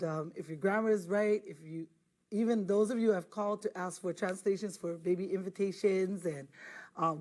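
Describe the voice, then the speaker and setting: A woman's voice: medium pitch, a little mellow. A middle-aged woman with a Hawaiian accent hosting a televised Hawaiian language lesson, chatting casually and mixing Hawaiian words into her English. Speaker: Hawaiian language teacher and show host.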